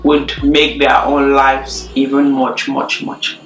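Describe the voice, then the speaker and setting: A young woman chatting casually in a relaxed Q&A vlog, repeating words for emphasis. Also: extremely low pitch, slow. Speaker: YouTuber. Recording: echoey room, faint music.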